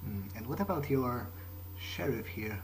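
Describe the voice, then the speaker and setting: A man of middling pitch improvises in character as he plays a game. He speaks politely and haltingly, tripping on words, with clear noisy ambience behind him. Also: animated. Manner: hesitant, polite, stumbling over words. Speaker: gaming video creator playing in character.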